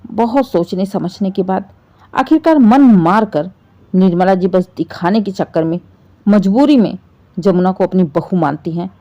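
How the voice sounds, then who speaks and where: A woman's voice: medium pitch, unhurried, warm tone, highs cut off. A woman narrating an emotional family story in a dramatic, scripted storytelling style.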